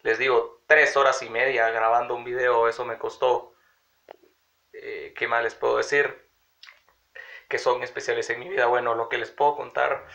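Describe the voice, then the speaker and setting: Raspy young man with a cold. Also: mid-range voice, sharp tone. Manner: rambling, chatty, addressing viewers directly. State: sick with a cold, congested. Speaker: vlogger. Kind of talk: casual vlog to camera. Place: small room in a house, indoors. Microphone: phone camera at arm's length. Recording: dull lo-fi phone audio.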